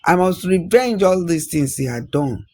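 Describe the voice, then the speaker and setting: A man's voice: high voice, expressive, normal speed, a little mellow. A man voicing a vengeful, stubborn young prince in a folktale, with emphatic, dramatic delivery. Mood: defiant, vengeful.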